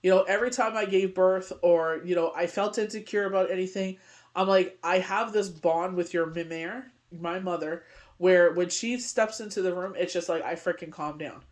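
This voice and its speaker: A woman's voice: fairly low pitch, normal speed. Rambling middle-aged woman reading tarot. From Canada. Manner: rambling, heavy on "you know what I mean". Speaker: tarot reader. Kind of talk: casual daily tarot reading.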